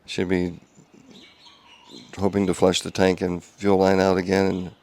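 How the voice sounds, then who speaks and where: Quiet, flat-voiced man with gravelly lows. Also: unhurried. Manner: quiet monotone talk with many ums and restarts. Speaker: home car restorer. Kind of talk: casual workshop update video. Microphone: small clip-on wireless mic.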